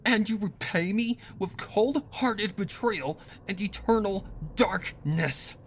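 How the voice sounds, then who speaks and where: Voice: medium pitch, slight background noise, pitched above the performer's own voice. Someone playing a betrayed, furious young pony. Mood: anguished rage. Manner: emotional, accusing, drawn-out outbursts. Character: wounded young female pony, betrayed, angry, defiant.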